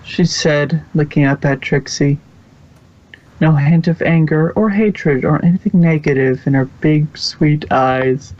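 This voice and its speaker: A narrator reading fan fiction aloud in a halting way, stumbling now and then over the text. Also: medium pitch, steady tone, a little mellow. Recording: dull lo-fi recording.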